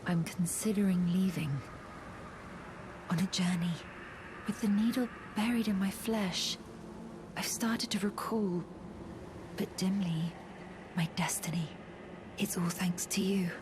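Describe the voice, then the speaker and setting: An actress playing a frail, solemn young swordswoman in a fantasy game, speaking softly and gravely in formal, old-fashioned phrases, often half-whispered. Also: medium pitch, a little slow, long pauses, background noise, natural voice. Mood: grateful, solemn. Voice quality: soft, breathy, half-whispered. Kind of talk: scripted fantasy game acting.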